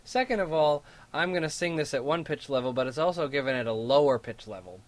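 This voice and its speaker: A man with a higher voice explains how a sung line fits the organ part, in a plain teaching manner with a few fillers and restarts. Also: expressive, fast talker. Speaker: church singer demonstrating a psalm setting. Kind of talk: explaining music between sung demonstrations. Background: organ music.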